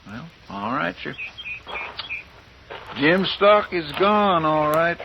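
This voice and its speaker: A folksy drawling frontier deputy. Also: male voice, on the high side, very animated, takes it slowly, gravelly, lo-fi sound, heavily acted. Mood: wistful, chatty. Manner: folksy, plainspoken, deferential. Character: simple, good-hearted, respectful frontier deputy sidekick. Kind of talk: old-time radio western acting. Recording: noisy old radio recording.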